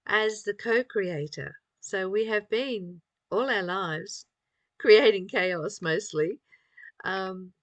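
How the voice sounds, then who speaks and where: Female spiritual reader and teacher, unhurried and reflective, mid pitch, close dry sound. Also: fairly bright tone, dry recording, dull audio. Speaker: spiritual study teacher reading aloud and commenting. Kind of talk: reflective spiritual reading with informal commentary.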